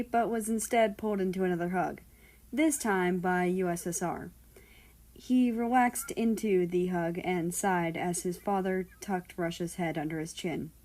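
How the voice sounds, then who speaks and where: A woman reading fiction aloud as an expressive narrator of a story. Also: fairly low pitch. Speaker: fan fiction reader.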